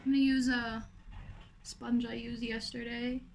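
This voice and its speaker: A woman's voice: fairly high pitch, level tone, a little slow, vocal fry, a little hiss or hum. A woman in her twenties, chatting casually while doing her makeup in a small room. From South Africa. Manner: chatty, upbeat, talks while applying makeup. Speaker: beauty vlogger. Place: small indoor room with pink wall.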